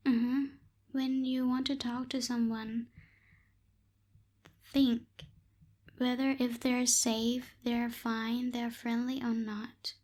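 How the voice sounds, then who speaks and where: A young woman voicing a bossy but secretly caring tsundere witch in a close-miked ASMR roleplay, softly scolding and a little hesitant. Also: on the high side, little pitch movement, slow pace, long pauses. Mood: firm, instructive. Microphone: close binaural microphone.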